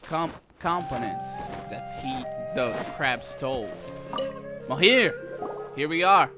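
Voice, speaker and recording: Slow, animated gameplay commentator over loud music. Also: mid-range voice. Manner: halting, reads and reacts aloud in short bursts. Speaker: gaming video creator playing a story quest. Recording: loud game music behind voice. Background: loud game music.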